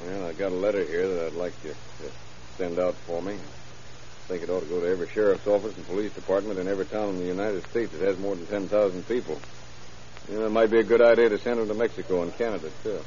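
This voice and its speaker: An actor playing a dogged, earnest police lieutenant with clipped cop slang in a vintage radio crime drama. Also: male voice, sits low, fairly even intonation, quick pace, mellow, natural voice. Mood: earnest, determined. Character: dogged, hunch-driven male police lieutenant. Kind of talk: 1930s-40s crime radio drama acting. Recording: muffled old radio recording, faint hiss.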